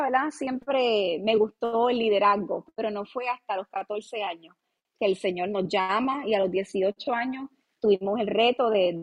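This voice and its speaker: A woman's voice: medium pitch, low-quality recording. A woman in her late thirties from Puerto Rico, with a Puerto Rican Spanish accent, speaking as a pastor and interview guest, earnest and humble, with frequent "eh" fillers. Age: 30s. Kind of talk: podcast interview.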